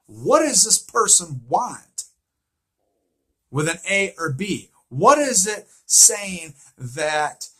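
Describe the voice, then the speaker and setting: Husky guy doing fan theory recap, slow talker, really emphatic, repeats himself a lot. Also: a little high, sharp tone. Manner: emphatic, repetitive, posing rhetorical questions. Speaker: fan commentator on a TV series. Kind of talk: informal recap and theory commentary.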